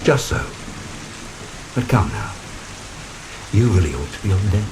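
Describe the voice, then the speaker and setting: An old vampire lord, deep-ish and very animated, mocking and commanding, slow deliberate horror radio play, stormy noise behind. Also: male voice. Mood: sardonic. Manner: theatrical, sardonic, deliberate. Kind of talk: gothic horror audio drama acting. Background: rain and thunder.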